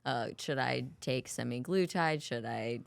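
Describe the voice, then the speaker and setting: A woman with a low voice co-hosts a podcast and chats in an easygoing, relaxed way. She sounds close to the mic in a dry room. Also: unhurried, creaky, smooth, no echo. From Guatemalan family, raised in the US. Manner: easygoing, chatty, laughs things off. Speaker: podcast co-host. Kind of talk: casual podcast chat.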